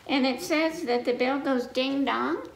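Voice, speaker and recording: A woman's voice: high voice, measured pace, creaky. An older woman, in her sixties or older, leading a children's story time, reading aloud and explaining things simply with repetition, in a teacherly way. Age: 60s or older. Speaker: library story time reader. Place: indoor living room in front of a fireplace. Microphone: camera a few feet away. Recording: echoey room, noticeable noise, clicks.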